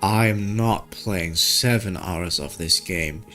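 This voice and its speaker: A man's voice: low-pitched, slow pace, bright, forceful tone, soft background music. A man talking casually as a game streamer, thinking aloud in informal, slangy language. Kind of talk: casual gaming stream chat.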